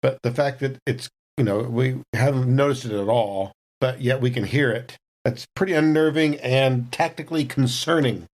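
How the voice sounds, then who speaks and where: A man's voice: mid-range voice, moderate pace, few pauses, a little mellow. A man playing a tabletop role-playing game, narrating his character in an improvised, conversational way.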